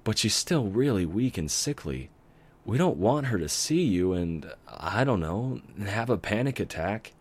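An eager, bookish young unicorn mare with a bright, kind manner, pitched high and lifted above the reader's deep voice, as a man puts her on. She speaks louder and brighter than the narration, with a gentle warmth. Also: very animated, unhurried, breathy edge, exaggerated voice. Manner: bright, kind, raised falsetto. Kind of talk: fan story reading.

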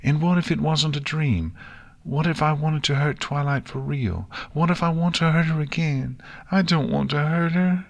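A man's voice: on the high side, fairly even intonation, moderate pace, vocal fry, voice pushed up. A man voicing an earnest, anxious young pony filly in a storybook reading. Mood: worried.

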